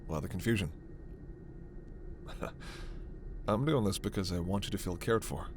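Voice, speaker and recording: Man acting a slow, low-voiced gentle alien doctor. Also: slight background noise, full character voice. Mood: kindly, attentive. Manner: measured, formal, precise diction. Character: gentle, scholarly male alien doctor with scales, protective. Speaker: ASMR roleplay performer. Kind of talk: ASMR sci-fi roleplay acting.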